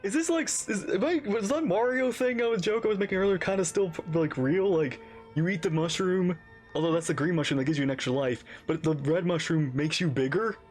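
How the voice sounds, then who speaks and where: A man's voice: high-pitched, fairly quick, a little mellow. A man giving casual live reaction commentary as he streams a video game. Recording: game music behind the voice.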